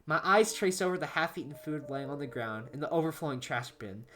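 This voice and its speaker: A woman's voice: sits low, lively intonation, a little fast, fairly bright tone. A woman giving an amateur, dramatic narration of a horror story.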